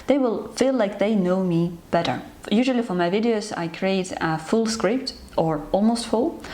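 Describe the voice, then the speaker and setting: Young woman from ukraine, medium pitch, earnest and kinda hesitant, just chatting to her subscribers. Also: a little mellow. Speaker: channel host. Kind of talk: personal talk to camera.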